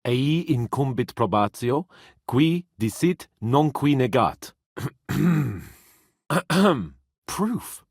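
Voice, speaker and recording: An actor playing a proud, hot-headed young nobleman in a video game, with a theatrical, sharp-tongued manner. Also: medium pitch, big pitch swings, extremely slow, slightly rough, no echo, clean studio sound, heavily acted. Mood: smug, challenging. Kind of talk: dramatic video game voice acting.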